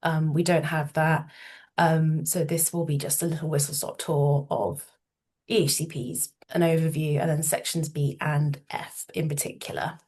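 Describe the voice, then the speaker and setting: A woman with an English accent, a solicitor giving an informative talk, slightly nasal from a cold, measured, with many ums and restarts. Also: low-pitched, steady tone, darkish tone.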